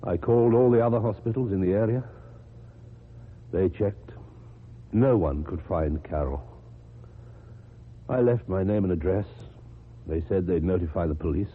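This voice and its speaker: An actor playing an agitated, stammering husband whose wife has vanished, in a radio drama. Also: male voice, deep voice, normal speed, long pauses, breathy edge. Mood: shaken, subdued. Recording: muffled, phone-like old radio recording, hissy.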